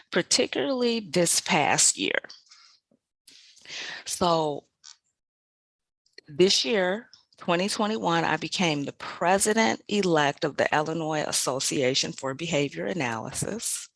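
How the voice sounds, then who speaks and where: A woman in her fifties, a podcast host, reflecting in a measured, deliberate way and repeating phrases for emphasis. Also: lowish voice, gravelly, a bit bright, no echo, low-quality recording. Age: 50s. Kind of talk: reflective personal monologue.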